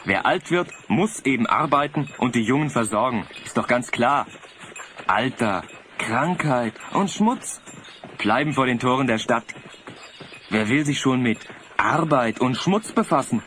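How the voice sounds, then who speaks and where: A vain, naive young fellow with a very animated, mid-pitched voice. He speaks earnestly and with swooping intonation in radio play style, over faint tape noise. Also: unhurried. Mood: earnest, self-assured. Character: naive, vain young male sled driver, devoted citizen. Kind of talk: German audio drama acting. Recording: slightly noisy cassette audio drama.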